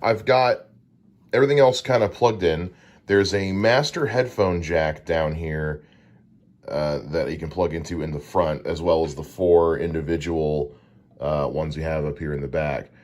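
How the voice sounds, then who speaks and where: A man hosting a podcast, casually walking through his studio gear on a dynamic broadcast mic, with frequent "uh" fillers. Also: measured pace. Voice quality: deep voice. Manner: relaxed and explanatory, with frequent "uh" fillers. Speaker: podcast host. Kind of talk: casual gear unboxing walkthrough.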